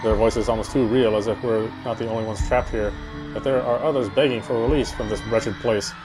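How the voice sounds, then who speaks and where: A man's voice: lowish voice, steady tone, brisk, over music. A man reading a horror game story aloud as dramatic narration, occasionally stumbling and rereading phrases.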